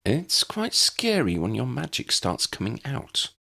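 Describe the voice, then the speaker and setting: A man's voice: mid-range voice, wildly swooping pitch, measured pace, gravelly, sharp tone, voice pushed up, heavily acted. A man voicing an earnest, bossy, clever young witch in a storybook, with an insistent, emphatic manner. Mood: comforting. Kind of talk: storybook character acting.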